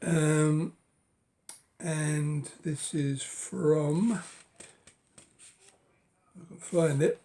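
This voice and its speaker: A hoarse middle-aged man with a cold, ambling slowly through a casual chat and pausing on every um. His voice is gravelly and creaky, and it often sinks to a breathy whisper. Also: a little high, fairly even intonation, dark tone. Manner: halting, many ums and uhs, drops to a whisper. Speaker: book collector vlogger. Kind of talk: casual show-and-tell of books.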